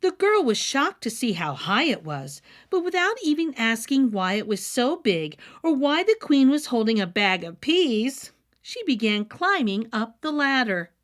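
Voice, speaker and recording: A woman's voice: on the high side, a little slow. A woman narrating a children's story expressively, as a read-aloud host with a playful manner. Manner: animated, with playful asides.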